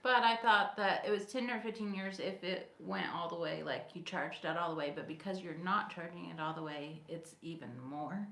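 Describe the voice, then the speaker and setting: A woman's voice: lowish voice, normal speed, gravelly, hardly any pauses, a bit bright. Woman co-host with a sore throat. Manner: short, casual remarks. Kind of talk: casual livestream chat. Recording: roomy echo, faint noise.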